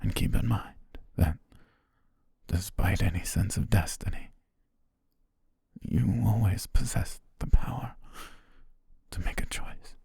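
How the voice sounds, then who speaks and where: A man voicing a dying young butler, loyal and tender, who speaks in hushed, halting words broken by weak breathy laughs as his strength fades, recorded on a close mic for an ASMR roleplay. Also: lowish voice, no echo, heavily acted. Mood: tender farewell. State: fading, short of strength. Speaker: voice actor.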